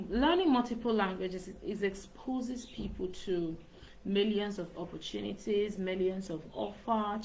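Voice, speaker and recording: A woman's voice: medium pitch, a little mellow, slight background noise, rough recording. A woman, a speaking coach talking live into her laptop microphone in an earnest way and repeating phrases for emphasis. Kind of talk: live-stream monologue.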